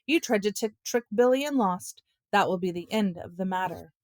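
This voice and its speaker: A woman's voice: medium pitch, moderate pace, no echo, close to an everyday voice. Lady doing a stern dad in a kids audiobook, telling someone off, dry sound. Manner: firm, admonishing. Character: stern, scolding suburban father. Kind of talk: children's audiobook character voice.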